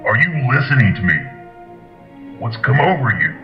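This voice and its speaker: An actor playing a belligerent, contemptuous alien warlord, declaiming with military scorn in an audio drama. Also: male voice, mid-range voice, big pitch swings, normal speed. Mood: angry, demanding. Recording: dull lo-fi echoey audio, loud music.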